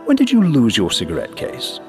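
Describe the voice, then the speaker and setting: A man's voice: medium pitch, huge pitch swings, darkish tone, faint music behind, voice pushed up. A man voicing an older, courteous, puzzled former air force pilot in a story. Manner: formal, halting, explanatory.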